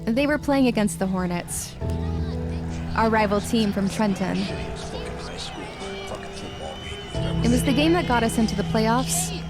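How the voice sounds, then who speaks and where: A woman acting a wounded, defensive woman in her twenties in a dramatized audiobook, tough and guarded. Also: fairly high pitch. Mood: haunted. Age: 20s. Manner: guarded, bitter, profane. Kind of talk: dramatized audiobook, first-person recounting.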